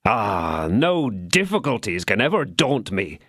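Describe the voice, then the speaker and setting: A man voicing an ardent young male swordsman who flatters with theatrical, sentimental pleading. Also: fairly low pitch, unhurried, no room sound, studio quality, full character voice.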